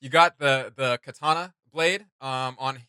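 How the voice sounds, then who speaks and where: A man's voice: mid-range voice, fairly even intonation, unhurried, pushed and bright, clean tone, dry recording, studio quality. A man chatting casually and enthusiastically as a reaction host, rambling and slangy. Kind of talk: casual enthusiastic fan chat.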